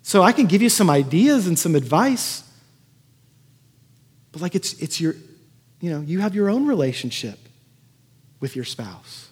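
A man's voice: high-pitched, very animated, a little slow, pauses often, husky. A man preaching as a pastor in a conversational sermon, earnest and given to emphatic repetition. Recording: echoey hall with long reverb.

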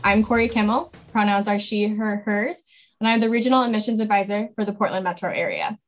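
Woman podcast host, upbeat casual delivery, mid pitch. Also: steady tone, fairly quick, faint music behind. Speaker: podcast host, admissions advisor.

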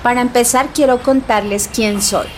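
A woman's voice: fairly high pitch, fairly even intonation, normal speed, slight background noise. A woman in her thirties with a Bogotá Colombian accent, a native Huitoto speaker, narrating a scripted personal audio documentary. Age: 30s. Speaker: narrator and host.

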